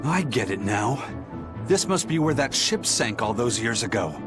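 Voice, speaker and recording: An actor playing an eager swordfighter and pottery connoisseur in a video game, with a grandiose, lecturing manner. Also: male voice, mid-range voice, very animated, moderate pace, music in the background, lightly acted. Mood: excited. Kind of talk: English video game voice acting.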